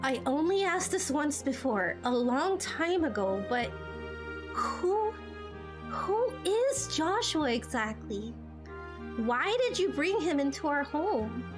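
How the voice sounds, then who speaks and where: Woman voicing a teenage game heroine, high pitch, anxious, stammering, urgent, music underneath. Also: sing-song, slow pace, exaggerated voice. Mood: demanding. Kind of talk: amateur game cutscene voice acting. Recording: music behind the voice.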